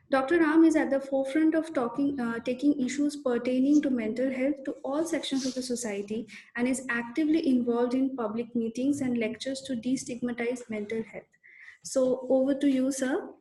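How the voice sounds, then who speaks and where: A woman's voice: high voice, mellow. A woman, hosting an online programme and formally reading out a speaker introduction. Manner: formal, polite, read-aloud. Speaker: host, emcee. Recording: echoey room.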